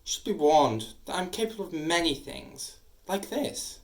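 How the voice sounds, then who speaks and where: A woman's voice: low voice, lively intonation, normal speed, roomy sound. A woman playing a cruel, taunting ancient male demon in an audio drama, with menacing, theatrical delivery. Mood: threatening.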